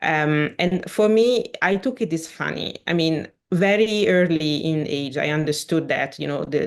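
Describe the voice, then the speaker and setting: A woman who grew up in Switzerland, speaking English as a second language, earnestly describing her career as a podcast guest. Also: sits low. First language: not English. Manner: earnest, animated, with frequent restarts and non-native grammar. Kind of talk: casual interview chat.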